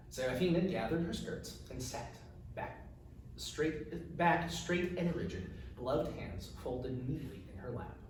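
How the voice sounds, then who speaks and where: A man reading his fantasy novel aloud as its author, in an expressive storytelling style. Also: mid-range voice. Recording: echoey room, distant mic, faint noise.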